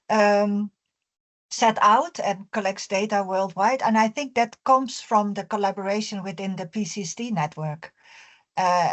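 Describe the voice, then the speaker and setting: Hesitant woman with a lowish voice. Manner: hesitant, many fillers. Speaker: panelist. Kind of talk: panel discussion.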